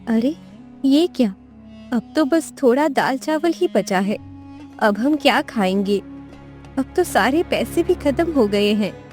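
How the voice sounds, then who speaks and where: A woman's voice: high voice, lively intonation, takes it slowly, a little mellow, faint music behind. A woman voicing a devoted, kindhearted, careworn young wife in a cartoon tale, earnest and emotional in delivery. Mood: distressed.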